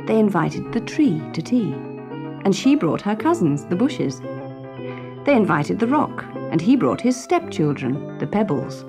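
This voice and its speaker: Animated woman storyteller. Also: medium pitch, measured pace, over music. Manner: expressive, clearly phrased storytelling. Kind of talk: scripted children's story narration.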